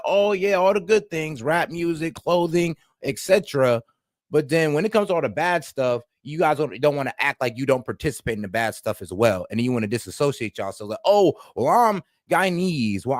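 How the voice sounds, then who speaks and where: A man from the Caribbean co-hosting a casual group discussion, blunt and animated, mocking the voices he quotes. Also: fairly high pitch, no echo, studio quality. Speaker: podcast co-host.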